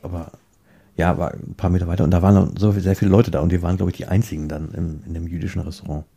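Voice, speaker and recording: A man chatting casually in a rambling, halting way, often repeating words as he searches for the next one. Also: deep voice, level tone, gravelly, warm tone, slightly rough.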